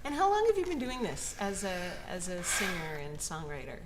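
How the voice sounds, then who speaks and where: A woman co-hosting a livestream, asking gentle questions in a casual way with frequent short interjections. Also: fairly low pitch, huge pitch swings, brisk. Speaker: co-host interviewer. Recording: faint background noise.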